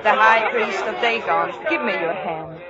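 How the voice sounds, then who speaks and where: An actress playing a loving, resolute temptress in a tender, dramatic 1950s radio manner. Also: female voice, mid-range voice, a little slow, a loud crowd, lightly acted. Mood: gentle. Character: loving, resolute temptress leading her blinded lover. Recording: muffled, noisy 1950s radio broadcast.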